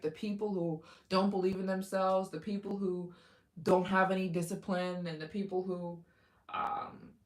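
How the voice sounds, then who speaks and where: A woman hosting a casual livestream, chatting with viewers, thanking donors and giving motivational talk about taking risks. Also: lowish voice. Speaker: livestream host. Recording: echoey room.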